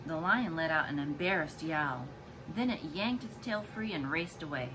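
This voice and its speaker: A woman's voice: low voice, normal speed, heavy background noise. A woman, a mother, reading a children's storybook aloud expressively to her child. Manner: expressive, acts out dialogue and explains to child.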